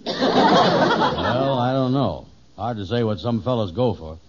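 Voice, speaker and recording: A dim, folksy pal, muffled. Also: male voice, lowish voice, lively intonation, slow pace. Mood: wry. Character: slow-witted, gullible, wisecracking middle-aged pal. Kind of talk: old-time radio sitcom acting. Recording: muffled, faintly noisy vintage radio recording.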